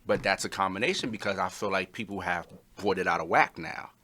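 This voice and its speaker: A man with a very deep yet bright voice in a casual panel discussion. He speaks earnestly and builds long, explanatory sentences. His delivery stays steady and thoughtful. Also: fairly quick, slight background noise. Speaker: panel guest.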